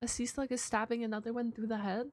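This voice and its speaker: A woman's voice: a little high, flat delivery, fast talker. A woman chatting casually as a reaction streamer, informal and slangy, often exclaiming. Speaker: reaction video host, streamer.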